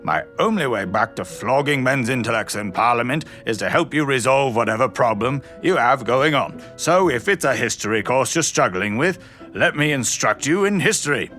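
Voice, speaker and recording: A man's voice: medium pitch, expressive, normal speed, sharp tone, soft background music. An actor playing a pompous, blustering old British statesman in an audio drama, boastful yet kindly, with grand oratorical phrasing. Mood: boastful, orating. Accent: British English.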